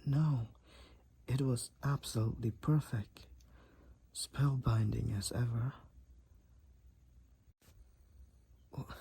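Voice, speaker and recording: A man voicing a devoted, overprotective vampire boyfriend in an intimate audio roleplay, with courtly, archaic, tender phrasing and a breathy, husky voice. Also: mid-range voice, warm tone, a little hiss or hum. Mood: tender, concerned. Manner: archaic, formal, tender, slow and deliberate wording.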